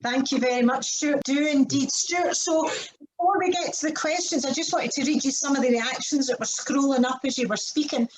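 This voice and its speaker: High-voiced woman host. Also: normal speed, low-quality recording. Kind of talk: hosting an online event. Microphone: video call.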